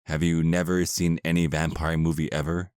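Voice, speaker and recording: A man's voice: very deep voice, level tone, moderate pace, darkish tone, clean tone, no echo, clean studio sound. A man playing an exasperated, sarcastic vampire husband, centuries old but youthful, in a comedic audio roleplay. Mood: smug, condescending. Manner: sarcastic, complaining.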